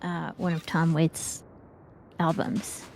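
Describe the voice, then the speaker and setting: A woman's voice: sits low, level tone, takes it slowly, a little mellow, slight background noise. A woman co-hosting a gaming show, chatting casually in a playful, rambling way while she plays. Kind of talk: casual chat while playing an online game.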